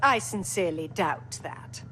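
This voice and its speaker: A woman's voice: lowish voice, lively intonation, unhurried, pushed and bright. A woman playing a formal, suspicious Imperial officer in a cinematic game, with a questioning, officious manner. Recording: noisy ambience around the voice.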